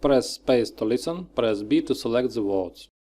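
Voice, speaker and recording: A man with a mid-pitched voice narrates a software tutorial. He works from a script and moves step by step through short, clipped instructions. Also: lively intonation, normal speed.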